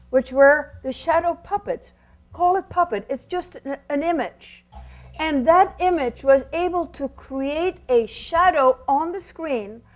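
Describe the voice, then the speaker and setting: A woman presenting puppetry in an explanatory storytelling talk, theatrical and emphatic, with rhetorical questions. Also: high-pitched.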